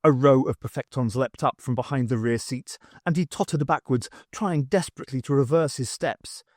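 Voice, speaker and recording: A man's voice: mid-range voice, moderate pace, darkish tone, dry recording, studio quality. A man reading scripted story narration as the narrator of an audiobook.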